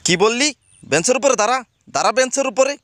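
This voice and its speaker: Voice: medium pitch, lively intonation, fast talker, fairly bright tone, close to an everyday voice. A voice actor playing an exasperated male schoolteacher in a cartoon, scolding his pupils and exclaiming at their answers. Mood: angry. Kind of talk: cartoon voice acting.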